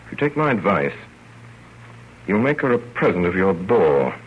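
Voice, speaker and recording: A man playing a fond, wry, gentlemanly father telling his story with measured theatrical delivery in 1930s radio style. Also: sits low, very animated, slightly rough, heavily acted. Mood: earnest, fond. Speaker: narrator. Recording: muffled, noisy old radio broadcast.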